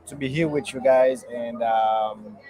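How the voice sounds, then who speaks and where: A young man from Haiti talks about his work as an entrepreneur in a halting, earnest way. He stops often and fills the gaps with small hesitations. He is speaking from a restaurant. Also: mid-range voice, dark tone. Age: young adult. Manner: halting, many fillers, earnest. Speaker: guest entrepreneur. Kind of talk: casual interview answers.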